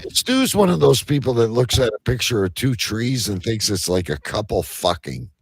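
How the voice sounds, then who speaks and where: Animated man hosting, gravelly edge. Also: medium pitch, normal speed, dry recording. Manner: crude, joking. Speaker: host of a live comedy talk show. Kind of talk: casual banter and reading out odd news.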